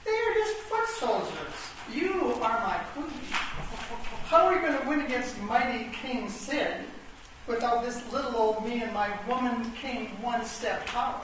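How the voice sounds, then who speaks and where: Woman playing a slow, imperious little chess king. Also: on the high side, lively intonation, far from the mic. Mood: taunting, domineering. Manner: goading, imperious. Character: taunting, domineering little old male chess king. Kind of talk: comic radio play acting. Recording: echoey, noisy stage recording from afar.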